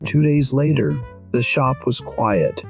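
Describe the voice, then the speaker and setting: A gentle man in his sixties, voiced by an actor, narrates his own story in an audio drama. He speaks slowly at a medium pitch, reflective, deliberate and wistful. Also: animated, gravelly, soft background music. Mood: reflective, tender. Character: reflective, gentle 62-year-old musician telling his story.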